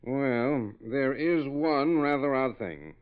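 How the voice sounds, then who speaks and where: An actor playing an emphatic, courteous store owner in a 1940s radio drama. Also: male voice, medium pitch, animated, slow, clear, resonant tone. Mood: earnest, emphatic. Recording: tinny, band-limited old radio recording.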